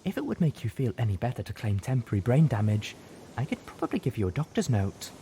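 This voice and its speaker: A man voicing a gentle, caring female unicorn healer with soft, articulate speech in an audiobook. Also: mid-range voice, wildly swooping pitch, fast, a little hiss or hum. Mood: chiding. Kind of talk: audiobook character voice.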